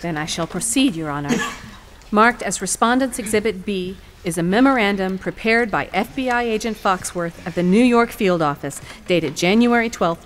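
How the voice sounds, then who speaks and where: A woman playing a determined attorney in an audio courtroom drama, speaking formally and deliberately. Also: mid-range voice, slight background noise, natural voice. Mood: formal, firm. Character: poised, determined female attorney arguing in court.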